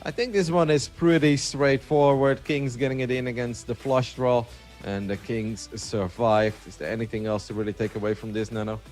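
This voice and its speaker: A man hosting a poker broadcast, briskly introducing players and handing off to his co-host. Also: mid-range voice, steady tone, darkish tone, faint music behind.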